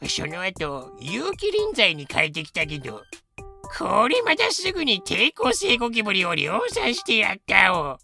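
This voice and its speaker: A woman's voice: mid-range voice, huge pitch swings, unhurried, creaky, bright, forceful tone, soft background music, full character voice. A woman voicing a cheeky, taunting young male cockroach with a cutesy verbal tic in a comedic audio drama. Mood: smug explaining.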